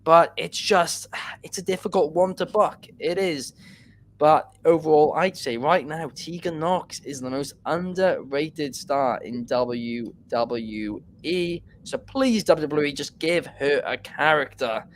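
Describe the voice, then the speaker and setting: A man hosts a casual podcast in a fairly high voice, reading out listener questions and weighing in with firm opinions. A faint hum of background noise sits under his voice. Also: animated, takes it slowly. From Wales. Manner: opinionated, reads viewer questions aloud. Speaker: podcast host.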